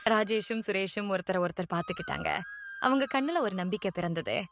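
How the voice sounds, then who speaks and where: A woman narrating a cartoon moral story in a clear, expressive way. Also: medium pitch, a little fast. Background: music.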